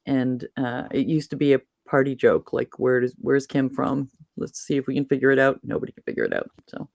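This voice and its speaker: A woman's voice: very deep voice, expressive, a little fast, creaky, a little mellow, no room sound. A woman from Massachusetts chatting casually as host, rambling and losing her train of thought. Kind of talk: casual chat.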